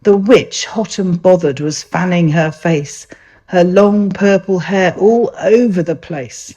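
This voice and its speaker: A woman reading a rhyming children's picture book aloud in a gentle, expressive storytelling manner. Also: on the low side, takes it slowly.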